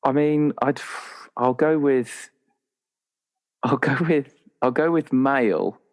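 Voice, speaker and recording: A man chatting casually and haltingly as a player working out a roleplaying character, often restarting his thoughts. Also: medium pitch, steady tone, darkish tone. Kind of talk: casual, improvised character discussion.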